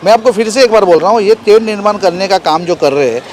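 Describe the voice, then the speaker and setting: Guy, local politician, high voice, insistent interview answers, faint traffic noise. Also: expressive, quick pace. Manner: insistent, argues with rhetorical questions. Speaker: local political party leader. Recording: outdoor street noise, passing vehicles.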